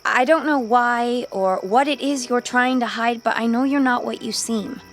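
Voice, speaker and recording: An actress playing a gentle, candid young woman in a radio drama, speaking softly. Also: a little high, normal speed, faint music behind, natural voice. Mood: upset, wary.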